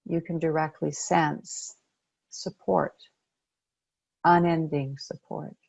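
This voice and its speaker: A woman leading a guided meditation as a coach, speaking gently and unhurriedly with pauses between phrases. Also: deep voice, fairly even intonation, creaky, dry recording.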